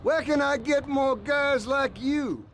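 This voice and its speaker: A man's voice: extremely high pitch, takes it slowly, fairly bright tone, slight background noise, heavily acted. A man playing a sly, developer. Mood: scheming. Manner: wheedling, sly. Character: shady male property developer, folksy and scheming.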